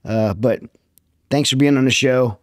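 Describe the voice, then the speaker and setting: A man hosting a podcast interview, friendly and quick to laugh. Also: medium pitch, no room sound, studio quality. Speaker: podcast host. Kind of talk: casual interview.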